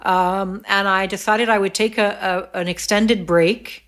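Middle-aged woman guest, thoughtful and measured, frequent pauses and self-corrections. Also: medium pitch, steady tone, fairly bright tone. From Egypt, raised in the US, Saudi Arabia and England. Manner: thoughtful, many fillers and restarts. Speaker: podcast guest. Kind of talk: reflective interview conversation.

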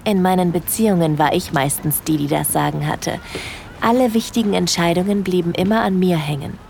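A woman playing a shy yet bold young cruise guest in an intimate audio drama, speaking softly and hesitantly. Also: on the low side, a little fast, vocal fry, a little hiss or hum. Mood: intimate musing.

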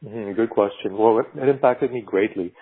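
A man's voice: fairly low pitch, normal speed, breathy edge. A man from Bulgaria, a podcast guest who works in animation, talks in a thoughtful, searching way with many restarts. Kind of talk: reflective conversational interview. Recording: tinny phone-like remote call.

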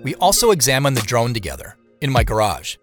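A man acts an earnest, cautious everyday guy turned sleuth in an audio drama. His pitch moves in a lively way while he stays conversational and measured. Music plays behind him. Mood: matter-of-fact. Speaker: lead character and narrator. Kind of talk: scripted audio drama, first-person narration and naturalistic phone dialogue. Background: background music.

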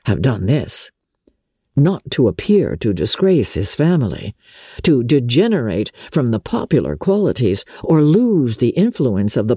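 A woman voicing an aggrieved young Regency gentleman in a dramatic audiobook reading, measured, confiding and mournful in manner. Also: a bass voice, breathy edge, no echo, studio quality, exaggerated voice.

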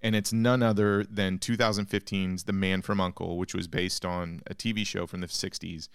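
A man's voice: deep voice, flat delivery, normal speed, clear, resonant tone, no echo, clean studio sound. A man hosting a film podcast, enthusiastic and a little rambling as he introduces topics and asks questions. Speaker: podcast host.

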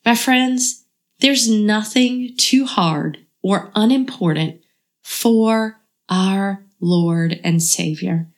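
A woman's voice: mid-range voice, drawn-out words. A woman hosting a devotional podcast, earnest and encouraging, her voice a bit froggy from a cold. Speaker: podcast host.

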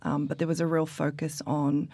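A woman from New Zealand talks casually about her work, her low voice stumbling through fillers and restarts. The recording is dry and close, with no room around her. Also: flat delivery, normal speed, mellow, no room sound. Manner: chatty, many fillers and restarts. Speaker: coffee expert guest. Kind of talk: casual interview chat.

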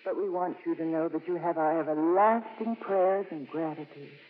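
An actress playing a devout, consoling nun, speaking with earnest, tender formality in 1950s radio drama style. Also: female voice, deep voice, steady tone, normal speed, lightly acted. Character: gentle, devout, hopeful, consoling nun, adult woman. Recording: dull old radio broadcast.

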